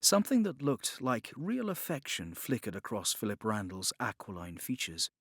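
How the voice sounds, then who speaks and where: A man narrating scripted fiction as an audiobook reader, with measured, dramatic, expressive storytelling. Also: lowish voice, slightly rough, no room sound, studio quality.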